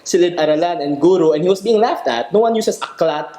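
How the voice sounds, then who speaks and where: Homme posé dans une pièce résonnante. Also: male voice, on the high side, lively intonation, fast, warm tone. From Philippines, outside Manila. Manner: argues his point firmly. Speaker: podcast panelist. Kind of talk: casual panel discussion. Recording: roomy echo.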